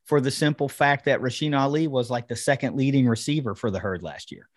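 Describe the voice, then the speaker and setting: A man's voice: medium pitch, brisk, no room sound, clean studio sound. A man hosting a sports podcast, casually breaking down football in an enthusiastic, conversational way. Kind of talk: casual football analysis chat.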